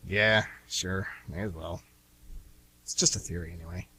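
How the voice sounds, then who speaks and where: A man's voice: low-pitched, steady tone, normal speed, sharp tone, husky, pitched below the performer's own voice, heavily acted. A man playing an eager, scheming pet goldfish with earnest, grandiose delivery in an amateur comic radio play. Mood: resigned.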